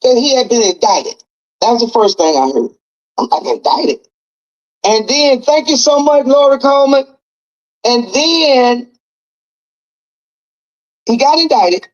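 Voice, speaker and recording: A woman's voice: sits high, lively intonation, unhurried, pauses often. Blunt woman on dull lo-fi audio. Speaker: commentator in a played clip. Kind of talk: casual commentary.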